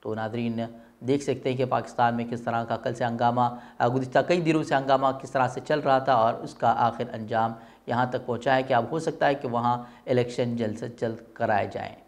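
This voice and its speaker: A man's voice: medium pitch, steady tone, a little fast. A man presenting a news bulletin with pointed commentary, speaking in an emphatic, rhetorical way.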